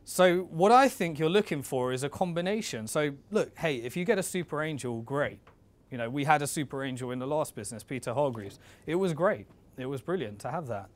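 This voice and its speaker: A man's voice: fairly high pitch, expressive, brisk. A man giving an informal instructional talk as a presenter, conversational and coaching, speaking directly to his listeners.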